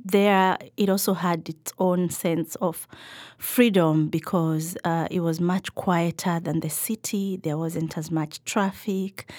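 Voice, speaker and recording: A middle-aged woman from Kenya, a podcast guest answering in a thoughtful, measured way with some fillers. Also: lowish voice, a little mellow, dry recording, clean studio sound. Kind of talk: reflective interview answers.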